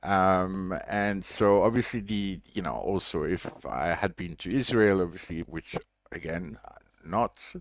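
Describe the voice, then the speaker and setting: A man's voice: sits low, measured pace, no echo. A man from Belgium, a diver running a reef conservation project, tells a long story in a casual livestream update, rambling with lots of fillers and restarts.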